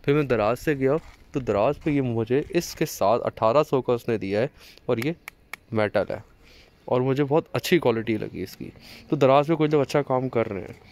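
Young guy talking you through his bike gear, mid pitch, chatty, outdoors on the street. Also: moderate pace, darkish tone. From Pakistan. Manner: chatty, explaining step by step with small restarts. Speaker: motovlogger. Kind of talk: casual how-to vlog. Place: outdoors on a street beside a parked motorcycle.

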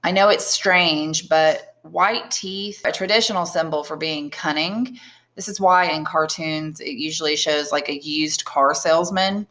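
Low-voiced woman teacher explaining. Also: a bit bright. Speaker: English teacher. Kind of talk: explanatory lesson and audiobook narration.

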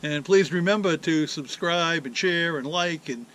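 An older man hosting his DIY channel, talking in a relaxed, rambling way with many fillers, standing outdoors by a rural driveway. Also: a little high, measured pace. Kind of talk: casual workshop vlog.

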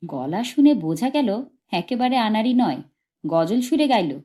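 A woman reading literary fiction aloud as an audiobook, in expressive scripted narration. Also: on the high side, unhurried. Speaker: audiobook reader.

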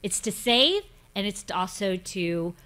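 A woman's voice: mid-range voice, slow pace. A woman in her fifties hosting a faith podcast, talking emphatically in a casual way at a desk mic in a studio. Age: 50s. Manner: animated, emphatic, gestures a lot. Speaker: podcast host. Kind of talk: casual religious talk. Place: studio set with floral backdrop.

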